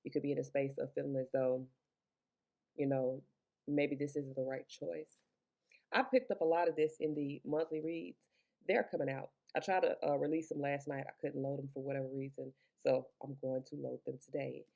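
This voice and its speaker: A deep-voiced woman with a gravelly, fry-laced edge gives a loose, chatty tarot reading. She rambles, swears in passing and talks into Bluetooth earbuds. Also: flat delivery, moderate pace, dark tone, lo-fi sound. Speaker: tarot reader. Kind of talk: casual spoken tarot reading.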